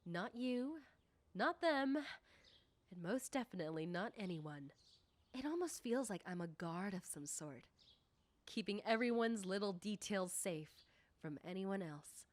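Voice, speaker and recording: A woman playing a confident, nosy popular high school girl in an ASMR roleplay, talking coyly and teasingly to the listener. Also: expressive, unhurried, lightly acted.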